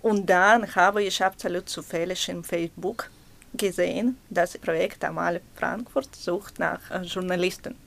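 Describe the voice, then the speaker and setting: A Ukrainian journalist with a Ukrainian accent, speaking halting German as a non-native speaker, with many hesitations and fillers. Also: female voice, on the low side, gravelly, fairly bright tone. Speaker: guest journalist. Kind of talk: interview answers.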